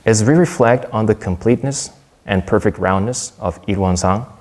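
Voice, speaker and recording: A young man from Korea giving a prepared Dharma talk in a measured, earnest way, with a few small restarts. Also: lowish voice, darkish tone.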